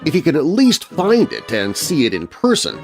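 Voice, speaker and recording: Male narrator, kids' horror podcast: dramatic scripted read, lively pitch movement, music bed underneath. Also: normal speed.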